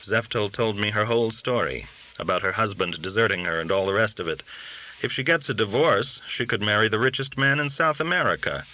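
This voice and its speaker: A man's voice: lowish voice, animated, fairly quick, pushed and bright, low-quality recording. A man reading an audiobook, voicing a worldly, cynical, boastful underworld dealer who talks in glib, argumentative rhetorical questions. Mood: cynical, persuasive. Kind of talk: audiobook character dialogue.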